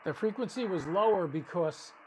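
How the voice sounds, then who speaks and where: A man's voice: high voice, animated, normal speed, a little mellow, slight background noise, poor audio. A man giving casual live commentary as a radio stream host, thinking aloud and explaining as he goes, often restarting his sentences. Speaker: ham radio livestream host.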